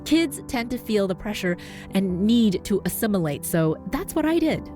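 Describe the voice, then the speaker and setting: A woman's voice: sing-song, moderate pace, mellow, soft background music. Upbeat Canadian woman with a neutral accent. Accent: neutral North American. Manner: upbeat, encouraging, with big jumps in pitch for emphasis. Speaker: podcast host and voice coach. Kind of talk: scripted podcast monologue.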